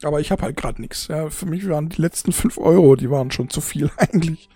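A forty-year-old speaking off the cuff to an audience in a husky, gravelly voice, halting and repeating words, emotional and blunt with casual swearing. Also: mid-range voice, warm tone, dry recording, faint music behind. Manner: halting, repetitive, searching for words. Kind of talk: unscripted casual monologue to an audience.

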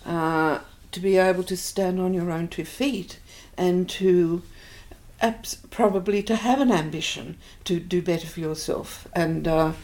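An older woman with an Australian accent, thoughtfully recalling her life in an interview, pausing often. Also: lowish voice, steady tone, a little mellow. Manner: reflective, hesitant with many fillers. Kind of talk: oral history interview.

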